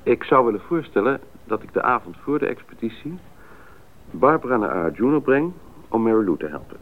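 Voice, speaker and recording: Decisive male expedition leader, radio play, lively intonation, businesslike, narrow muffled recording. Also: mid-range voice, fairly quick. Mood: businesslike, deliberate. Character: decisive male expedition pilot leading the planning. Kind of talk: Dutch radio drama acting.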